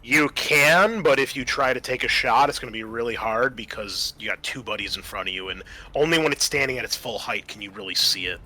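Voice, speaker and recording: A man's voice: mid-range voice, brisk, pushed and bright, low-quality recording. A man acting as game master, narrating a tabletop game and explaining things conversationally.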